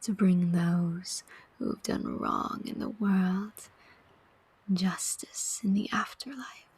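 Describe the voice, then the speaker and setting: A woman acts out a sardonic demon collector of souls in an ASMR roleplay. The voice is a very breathy whisper, drawn out very slowly. The demon coaxes and persuades with pointed, deliberate questions. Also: on the low side, steady tone, a little mellow, full character voice. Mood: sly, righteous.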